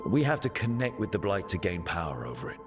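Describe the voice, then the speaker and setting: Party leader: calm and wry, low voice, lively intonation, faint music behind. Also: male voice, quick pace. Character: steady male hero leading a party. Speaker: player character. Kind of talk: video game cutscene dialogue.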